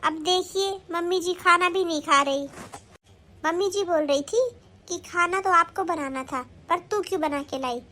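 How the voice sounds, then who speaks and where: A woman's voice: extremely high pitch, sharp tone. A woman acting a sly, newly married young daughter-in-law who coaxes and pleads in a family skit. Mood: pleading. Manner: coaxing, plotting, pleading.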